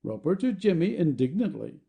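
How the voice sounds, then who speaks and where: Male narrator for stage directions; very dark, soft tone, animated intonation. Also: high voice, a little fast, gravelly. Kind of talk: scripted narration of a play.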